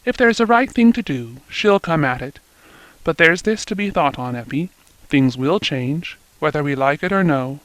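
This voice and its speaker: A man reading aloud plays an old country weaver, slow and fond, with homely rustic phrasing. The voice sits a touch lower than the narration. The recording is dry and close. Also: expressive, a bit bright, dry recording, rough recording. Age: older.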